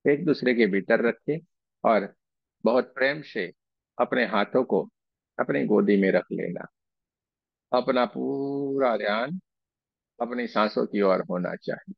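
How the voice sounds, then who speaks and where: A man leading a guided meditation, speaking in slow, soothing, repeated phrases with pauses between them. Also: fairly low pitch, creaky, no echo, lo-fi sound. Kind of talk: guided meditation with chanted invocation and slow repetition.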